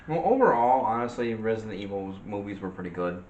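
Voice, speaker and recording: A man with a fairly low voice debates as a co-host in casual chat. His phrasing is halting and hesitant as he makes his case, and the room around him is echoey. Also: lively intonation, a little mellow, dull audio. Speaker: co-host of a movie discussion show. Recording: echoey room with faint background noise.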